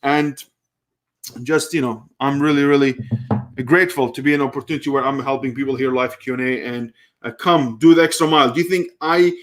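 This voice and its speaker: A man's voice: medium pitch, steady tone, a little fast. A man in his thirties, originally from Kuwait, hosting a live question-and-answer stream and talking loosely, with frequent restarts as he thinks aloud. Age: 30s. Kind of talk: casual live stream Q&A, reading viewer comments.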